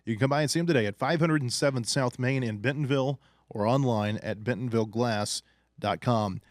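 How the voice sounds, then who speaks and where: Male podcast host, mid-range voice, casual presenting with small pauses, very dry studio sound. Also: mid-range voice, no echo. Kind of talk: scripted ad read and casual show introduction.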